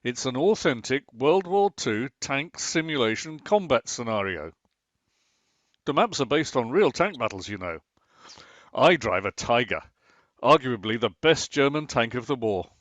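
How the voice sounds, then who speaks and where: A man's voice: mid-range voice, sing-song, a little slow, a bit bright, no echo. A man playing a pedantic middle-aged husband. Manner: defensive, pedantic, stammers when caught out. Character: Martin, a bored middle-aged husband obsessed with online tank games. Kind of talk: amateur radio play acting. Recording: slightly muffled, lo-fi.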